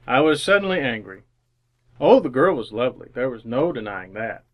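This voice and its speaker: A man's voice: mid-range voice, sing-song, moderate pace, lightly acted. An actor playing an earnest, jaded young ad man who narrates his misadventures in old-time radio drama style. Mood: wry, brooding.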